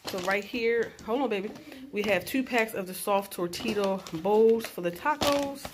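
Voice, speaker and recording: A woman's voice: medium pitch, moderate pace, heavy background noise. A woman in her thirties filming a casual grocery haul on her phone, chatting as she lists each item. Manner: chatty, lists items as she shows them. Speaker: vlogger mom. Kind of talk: casual shopping vlog and haul. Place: in a store, then at home. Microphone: handheld phone camera. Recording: loud crinkling of bags. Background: crinkling packaging.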